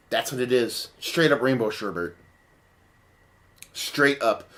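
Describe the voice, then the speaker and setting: Low-voiced man with a hoarse, worn voice. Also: fairly bright tone. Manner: casual, profane, rambling. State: partly lost voice, lower than usual. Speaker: beer reviewer. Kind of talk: casual beer review vlog.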